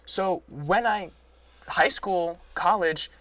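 A man's voice: high-pitched, takes it slowly, a crowd in the background. A young man whose first language is French, chatting casually as a podcast co-host in a loose, profane and emphatic way. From France and Italy. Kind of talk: casual chat.

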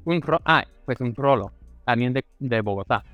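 A man's voice: mid-range voice, expressive, moderate pace, a bit bright, no echo, soft background music. A man with an American accent, a native English speaker, chatting casually as an interview guest. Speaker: interview guest, resident physician. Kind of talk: casual, friendly interview chat.

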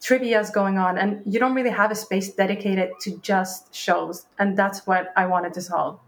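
A woman's voice: mid-range voice, steady tone, normal speed. A young woman from Sweden, a startup founder, answering interview questions in a chatty way, often restarting her words and acting out past conversations. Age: young adult.

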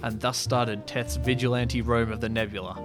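A man's voice: mid-range voice, flat delivery, normal speed, loud music behind the voice. A man hosting a podcast, reading his own sci-fi story in a mock-dramatic, self-amused way and chatting casually. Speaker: podcast host and amateur story writer.

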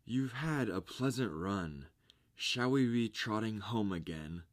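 A man's voice: fairly low pitch, lively intonation, slow, no echo, clean studio sound, pitched above the performer's own voice. A man voicing a blunt, glum, hungry carpenter in a storybook, with a dour manner. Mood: blandly cheerful. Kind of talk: storybook character reading.

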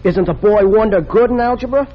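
A man's voice: high voice, brisk. An actor playing an eager, earnest teenage boy in a 1930s radio drama. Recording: dull lo-fi old radio recording.

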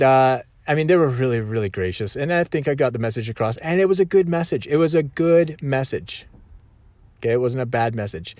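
A man's voice: mid-range voice, no echo. A man talking reflectively in a conversational, self-correcting way. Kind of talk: reflective solo talk.

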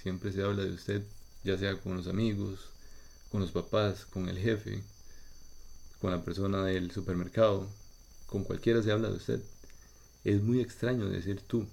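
A man with a Costa Rican accent giving a patient, slow-paced spoken lesson for Spanish learners, talking in short measured phrases. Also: sits low, level tone, gravelly, darkish tone. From Costa Rica. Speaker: podcast host. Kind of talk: slow explanatory monologue for learners.

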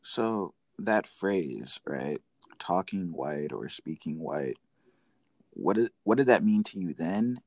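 Low-voiced man hosting an interview. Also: animated, takes it slowly, darkish tone, no echo. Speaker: interview host. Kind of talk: casual conversational interviewing.

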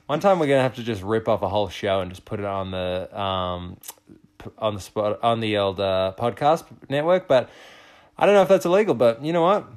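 A man's voice: lowish voice, a little fast, a little mellow. A young man hosting a podcast, chatting casually and playfully, laughing often and riffing on jokes. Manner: playful, laughs often, riffs with silly voices. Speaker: podcast host. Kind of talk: joking casual chat.

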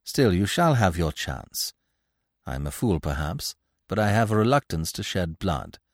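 A man voicing a cool, resolute gentleman fugitive with a quiet, formal and deliberate way of speaking in an audiobook story. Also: low-pitched, sing-song, no echo, clean studio sound, full character voice. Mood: cold menace.

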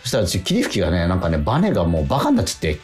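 Very deep-voiced man chatting on radio, animated. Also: racing, faint music behind. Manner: chatty storytelling with acted-out quotes. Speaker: radio show host and stage actor. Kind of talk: casual radio chat.

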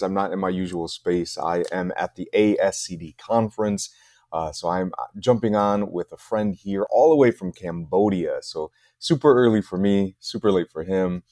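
A middle-aged American man hosting a casual podcast interview, talking in a halting way with repeated words. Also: low-pitched, dark tone, no echo, clean studio sound. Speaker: podcast host.

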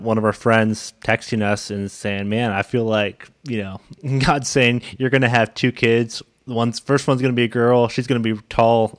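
A man's voice: lowish voice, no echo, clean studio sound. A young man hosting a casual interview, talking in an informal, easygoing, meandering way. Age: young adult. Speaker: podcast host.

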